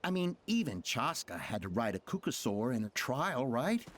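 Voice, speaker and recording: A woman's voice: extremely low pitch, sing-song, normal speed, heavily acted. A woman voicing an earnest, doubtful young trainee in an anime game, with a hesitant manner. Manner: hesitant, polite objecting.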